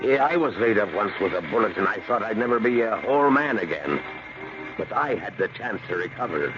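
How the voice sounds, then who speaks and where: Genial beat sergeant played by a man, low voice, folksy and deferential, radio drama, muffled old recording over music. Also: lively intonation, brisk, natural voice. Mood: concerned, tactful. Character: genial, considerate male police sergeant. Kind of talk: 1970s radio drama acting.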